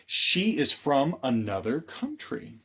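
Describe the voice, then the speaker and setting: A man reading aloud as a children's storytime librarian, theatrical, with dramatic pauses and hushed moments, putting questions to young listeners. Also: mid-range voice, very animated, noisy background.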